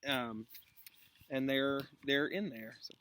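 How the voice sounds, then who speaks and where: A man's voice: mid-range voice, takes it slowly, fairly bright tone, slight background noise. A man who teaches school, reading a story aloud with informal, chatty asides and explanations. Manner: informal, interjects explanations and reactions.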